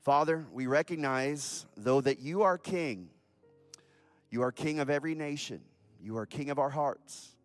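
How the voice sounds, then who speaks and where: Man, pastor, solemn prayer, earnest, mid-pitched voice, soft music underneath. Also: a little slow, no echo. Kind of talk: church announcement and prayer.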